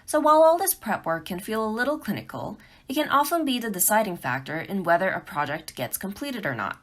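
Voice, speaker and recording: A woman narrates an instructional talk for beginners. Her intonation is very animated, rising and falling widely as she stresses key points. The phrasing is careful and clearly prepared. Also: mid-range voice, brisk. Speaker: presenter of a beginners' tutorial talk.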